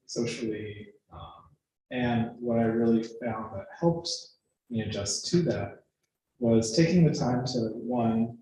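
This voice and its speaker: A man's voice: mid-range voice, darkish tone. A young man from Michigan, a law student answering panel questions in an earnest way and laughing easily. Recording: very echoey room, faint noise.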